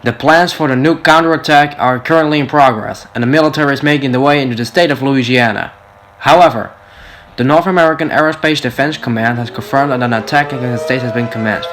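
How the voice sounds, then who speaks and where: A synthetic male voice playing a stern emergency alert announcer, reading a formal scripted warning in a flat, stilted way. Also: medium pitch, fast, natural voice. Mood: grave, impersonal. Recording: loud music under the voice. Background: loud music.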